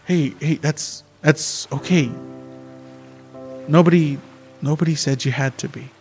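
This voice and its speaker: A man playing a gentle, reassuring young taxi driver in an amateur radio drama, speaking haltingly. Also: on the high side, lightly acted. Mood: concerned, soothing. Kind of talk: amateur radio theatre acting. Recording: faint music behind the voice.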